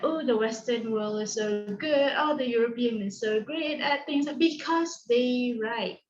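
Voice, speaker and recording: A woman from Malaysia hosts an online teacher discussion, thinking aloud with lots of fillers and pauses. Her voice comes over a dull, muffled video call line in an echoey room. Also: a little high. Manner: reflective, hesitant with many fillers. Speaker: host.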